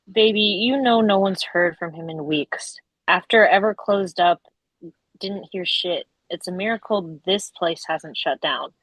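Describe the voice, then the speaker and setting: A woman's voice: fairly low pitch, unhurried, fairly bright tone, no echo, low-quality recording. A woman playing a straight-talking, motherly restaurant cook at a drama table read, familiar and frank. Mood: caring, frank.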